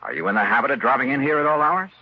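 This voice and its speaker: Probing insurance investigator, swooping intonation, dull old radio sound. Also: male voice, medium pitch, big pitch swings, very fast, slightly rough. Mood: questioning. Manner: probing, dry questioning. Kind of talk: 1950s radio drama acting.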